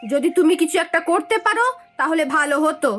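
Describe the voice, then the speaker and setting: A woman voicing a nagging, sharp-tongued village housewife in a cartoon story, complaining and sarcastic. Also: high-pitched, fast, soft background music. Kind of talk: Bengali cartoon story voice acting.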